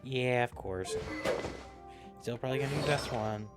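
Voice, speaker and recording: A man's voice: medium pitch, moderate pace. A man livestreaming a game with casual, blunt, sweary commentary, often repeating himself. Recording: loud game music behind voice.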